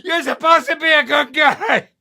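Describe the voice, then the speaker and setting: An actor playing a frantic, defiant criminal thug in a video game, blurting and pleading in a strained, gravelly voice. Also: male voice, extremely high pitch, steady tone, moderate pace, sharp tone, shouting, exaggerated voice. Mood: panicked defiance. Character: panicky, defiant, foul-mouthed male criminal thug.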